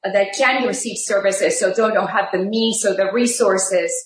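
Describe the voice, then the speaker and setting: A woman's voice: mid-range voice, steady tone, normal speed, reverberant, poor audio. A middle-aged woman whose first language is Spanish, a rehabilitation teacher giving a friendly, chatty cooking demonstration from her home kitchen, with many fillers and restarts. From Texas border region.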